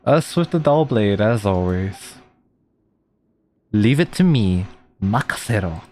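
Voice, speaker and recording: A man's voice: on the low side, lively intonation, slow pace, a little mellow. A man giving casual gameplay commentary as a streamer, reacting in a slangy, exclamatory way. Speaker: streamer playing and reacting to a game. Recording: game music faintly behind.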